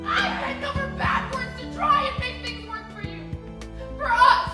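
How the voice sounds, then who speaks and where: Overwrought stage-drama woman, piercing pitch, distant and echoing under music. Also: measured pace. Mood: furious. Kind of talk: stage acting. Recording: echoey distant theatre stage, music over it.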